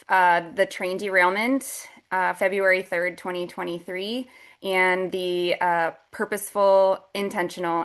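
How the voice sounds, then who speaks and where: A woman's voice: on the low side, fairly bright tone. A woman in her forties from Ohio, an advocacy group founder, giving an earnest, emphatic testimony on a webinar. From Ohio, United States.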